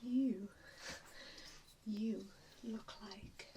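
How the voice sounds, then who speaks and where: A woman plays a vampire assessor in an ASMR roleplay. She whispers breathily, mostly without voice, at a slow pace with frequent hushed pauses. A faint noise sits behind her close-up whisper. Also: a little high, fairly even intonation. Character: vampire assessor, a wistful former hunter, gently probing.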